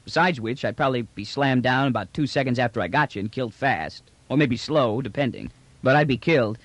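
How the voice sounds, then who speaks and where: A man's voice: medium pitch, lively intonation, fairly quick, fairly bright tone, no echo. Man playing a brash, slangy space trader, swooping intonation. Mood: easygoing, frank. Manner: bland, cheeky, slangy, colloquial. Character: brash, unruffled bearded male space trader prisoner, slangy. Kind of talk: audiobook character dialogue.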